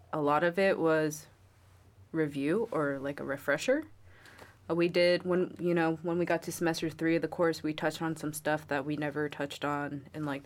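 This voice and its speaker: A young woman from New York, a guest in a casual interview, talking about herself in a chatty, wry way with many fillers. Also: sits low. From New York, USA. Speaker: guest, Marine Spanish linguist.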